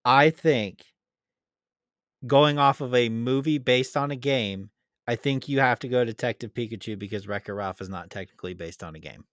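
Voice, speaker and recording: A man co-hosting a podcast in relaxed, casual conversation, agreeable and plain-spoken. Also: medium pitch, normal speed, dry recording, studio quality. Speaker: podcast co-host. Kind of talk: casual chat.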